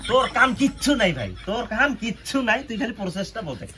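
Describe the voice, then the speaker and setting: Man acting fast, high-pitched pushy network-marketing recruiter. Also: sing-song, noisy background. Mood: insistent. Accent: northern Bengali regional dialect. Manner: repetitive, badgering for agreement. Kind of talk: comedy sketch acting. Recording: music laid under the dialogue.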